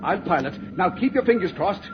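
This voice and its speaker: An earnest scientist father in command of a rocket. His voice sits on the high side, formal and urgent, in vintage radio serial manner. The old recording is dull and clearly noisy. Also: very animated, normal speed. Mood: grave, resolute. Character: anxious, commanding professor father piloting a rocket. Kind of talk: 1950s radio drama acting.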